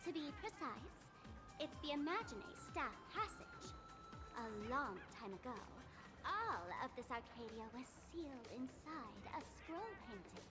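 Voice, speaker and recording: A woman's voice: high-pitched, very animated, measured pace, over music. A woman playing a playful, confident young female guide in an anime game, teasing as she explains. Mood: explaining.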